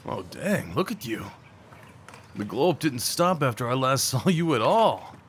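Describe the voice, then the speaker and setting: A man acting a young small-town guy, an awkward and tender old friend, in a casual, halting, intimate audio roleplay. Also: medium pitch, big pitch swings, a bit bright, a little hiss or hum. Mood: amused, friendly. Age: young adult. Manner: halting, stammering, slangy, chuckling.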